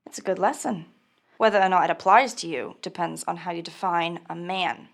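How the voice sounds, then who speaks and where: A woman's voice: on the low side, a little fast, vocal fry. A woman playing a sardonic, ruthless rogue. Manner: dry, menacing.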